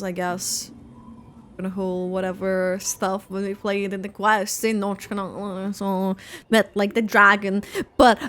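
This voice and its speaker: A woman's voice: mid-range voice, sharp tone, a little hiss or hum. A woman streamer giving a casual live reaction to a game, musing and hesitant. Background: game music.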